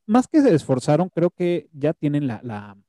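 A man's voice: on the high side, wildly swooping pitch, normal speed, dark tone, dry recording, clean studio sound. A man hosting a casual film review chat, talking hesitantly and often restarting his words.

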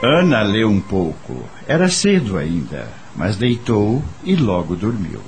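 A man's voice: fairly low pitch, huge pitch swings, a little slow, music in the background, full character voice. A man with a Brazilian accent narrating a radio drama as a scripted storyteller.

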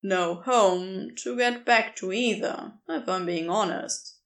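A woman's voice: mid-range voice, takes it slowly, voice pushed down. A woman voicing an easygoing, flirty male wanderer in a storybook reading, casual and candid. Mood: nonchalant. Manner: casual, laid-back, teasing.

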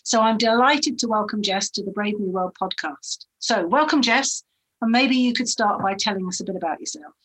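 A woman's voice: mid-range voice, normal speed, fairly bright tone, low-quality recording. A woman hosting a podcast interview, asking questions in an enthusiastic, chatty way. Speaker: podcast host.

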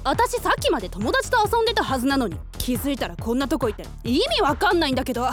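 Female actor as prickly, blunt young woman; high, animated delivery in radio drama over music. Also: fast. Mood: irritated. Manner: blunt, confrontational.

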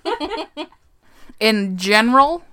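A woman's voice: on the high side, very animated, drawn-out words, crowd noise. A young adult woman hosting a casual podcast chat, laughing often and swearing casually, playfully blunt. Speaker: podcast host. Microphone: podcast microphone.

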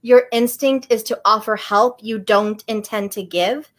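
A woman's voice: on the high side, fairly even intonation, a little slow, close to an everyday voice. Woman reading as a grumpy flustered young man. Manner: exasperated, sputtering. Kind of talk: reading fiction aloud.

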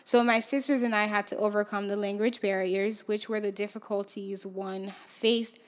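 Woman in her 20s from haiti, creole is her first language, telling her own story on a podcast, thoughtful, middle pitch, voice goes quiet at the ends. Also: flat delivery, normal speed. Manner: reflective, often drops to a soft low trail at phrase ends. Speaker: podcast host. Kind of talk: personal storytelling.

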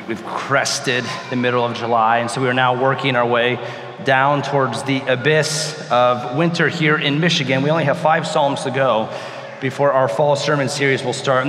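Pastor, man, hoarse from lost voice, loose conversational sermon, roomy hall reverb. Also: mid-range voice, fairly bright tone. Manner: conversational, with restarts. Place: hot church sanctuary. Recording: roomy hall with faint noise.

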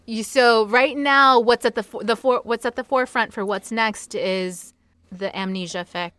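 A woman's voice: a little high, moderate pace, fairly bright tone. A woman hosting a casual interview podcast, speaking as a playful, effusive fan. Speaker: podcast host interviewing an author.

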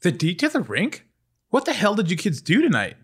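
A woman's voice: lowish voice, huge pitch swings, brisk, slightly rough, heavily acted. A woman reading the part of an exasperated suburban mother in a comedic script table read.

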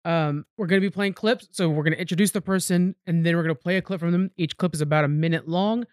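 A man's voice: a little high, steady tone, fast, no room sound, studio quality. A man co-hosting a podcast, chatting casually about television in a recap style. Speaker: podcast co-host.

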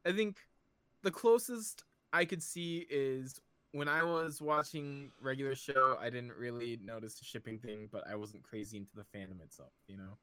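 A man of twenty-seven in relaxed, casual chat, a little hesitant. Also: medium pitch, moderate pace, clear, resonant tone, no echo. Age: 20s.

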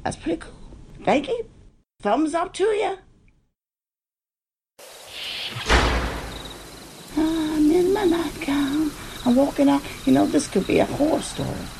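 A woman's voice: sits high, animated, moderate pace, creaky, background noise. A woman voicing a greedy, cheeky little girl in a nightgown, who talks in a breathless, giggly way and swears. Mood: nervous, curious.

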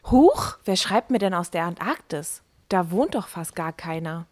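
A woman's voice: lowish voice, expressive, measured pace, a little mellow, dry recording. A woman playing a surprised, curious mother in an amateur school radio play. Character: surprised mother receiving a letter from Antarctica.

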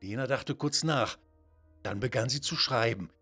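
A man's voice: mid-range voice, moderate pace, a bit bright, husky, faint music behind. A man narrating a scripted children's story in a dramatic, wonder-filled way.

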